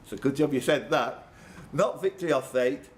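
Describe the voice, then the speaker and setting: A man's voice: mid-range voice, moderate pace. A man hosting a live pub quiz, reading out questions and bantering with players by name. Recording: clear background noise, live room. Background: room ambience and noise.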